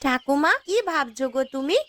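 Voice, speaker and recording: Woman as a high-pitched, worried young village girl. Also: normal speed, a little hiss or hum, natural voice. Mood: worried, caring. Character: poor young village granddaughter, sweet, worried, caring. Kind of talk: Bengali fairy tale cartoon dubbing.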